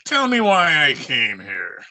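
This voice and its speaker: A man playing a sharp-edged, drawling sadistic gunman. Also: on the high side, wildly swooping pitch, slow, slight background noise, exaggerated voice. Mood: menacing, mocking. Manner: taunting, drawling tough-guy slang. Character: sadistic male gunman, taunting drunken killer. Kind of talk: old-time radio crime drama acting.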